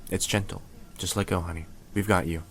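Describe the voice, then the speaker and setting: A man acts as a calm surgical medic with a low voice, steadily reassuring a patient in an ASMR roleplay. Clear background noise sits behind him. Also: fairly quick. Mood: calm, soothing. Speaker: voice actor in an audio roleplay.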